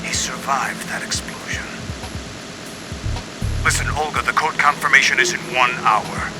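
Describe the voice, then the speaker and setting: An actor playing an authoritative, unbending male terrorist leader in a video game, measured and imperious. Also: mid-range voice, lightly acted, low-quality recording. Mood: commanding. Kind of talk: dramatic video game acting. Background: music.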